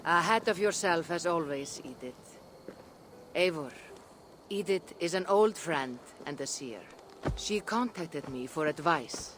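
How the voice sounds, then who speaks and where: A woman playing a solemn Viking seeress in a video game, speaking in a measured, grave and foreboding manner. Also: low voice, animated, a little hiss or hum. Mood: calm, concerned. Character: solemn, mystical Viking seeress advising a warrior. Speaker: game character. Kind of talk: video game cutscene acting.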